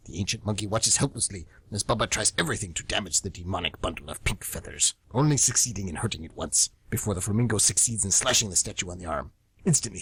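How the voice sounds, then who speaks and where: A man with a husky voice, reading dramatic story narration in an amateur radio play. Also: fairly low pitch, a bit bright.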